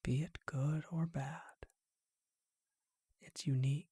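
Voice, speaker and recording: A performer voicing a charming, bookish ancient vampire in a whispered ASMR roleplay, speaking in a refined, unhurried, musing way with a breathy voice. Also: medium pitch, steady tone, dark tone, no room sound, clean studio sound. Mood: intimate, reflective.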